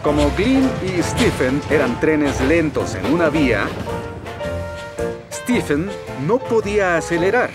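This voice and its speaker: A man's voice: a little high, sing-song, slow, loud music behind the voice. A man narrating a children's cartoon story.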